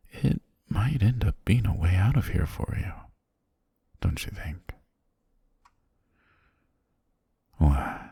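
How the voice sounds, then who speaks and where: Guy doing a calm controlling master for asmr, deep n breathy, real slow, coaxing, right up on the mic. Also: dry recording, full character voice. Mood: softly teasing. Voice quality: breathy, half-whispered. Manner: slow, gentle, coaxing, softly commanding. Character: calm, possessive male governor soothing his hypnotized pet. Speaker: ASMR voice actor. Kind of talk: ASMR roleplay. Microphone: very close, dry microphone.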